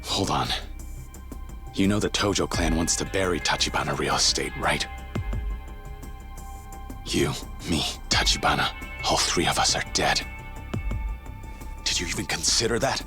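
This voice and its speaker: A gruff young gangster on the run in a game dub. His voice is very low, and he talks in short, blunt, guarded sentences. Music plays behind him. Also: expressive, measured pace, pauses often, heavily acted. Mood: tense, indignant. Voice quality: very deep, low voice. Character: stoic, gruff young yakuza man on the run. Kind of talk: English video game dub acting.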